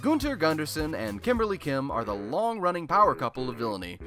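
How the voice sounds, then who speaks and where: Male actor as wry superhero-city radio host. Casual, conversational, animated pitch, soft music underneath. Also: fairly quick. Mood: wry, upbeat. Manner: wry, conversational, mildly sweary. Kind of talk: casual scripted radio monologue.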